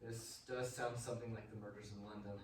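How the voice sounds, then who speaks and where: A man's voice: on the low side, little pitch movement, fairly quick, natural voice. A man playing an earnest, uneasy husband in a radio play, with a hesitant, cautious way of speaking. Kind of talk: theatrical radio drama acting. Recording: very echoey room, distant mic, faint noise.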